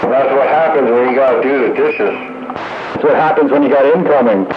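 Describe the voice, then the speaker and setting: A man's voice: medium pitch, a little fast, dull audio. A man hosting a radio roundup, chatting casually. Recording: roomy sound with clear radio noise.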